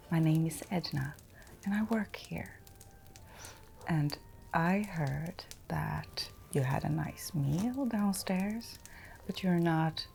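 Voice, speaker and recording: A woman acts out a kindly forest innkeeper in a fantasy ASMR roleplay. Her soft, whispered voice is low and creaky, and she takes her time, soothing and reassuring the listener. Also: a little hiss or hum, heavily acted. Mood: welcoming. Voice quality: soft, breathy, largely whispered. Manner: slow, gentle, caring, reassuring.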